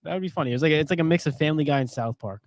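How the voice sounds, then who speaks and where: Male podcast co-host, highish animated voice, close dry sound, rambling comic storyteller in casual sports talk. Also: racing, dry recording. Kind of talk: casual sports chat with joking banter.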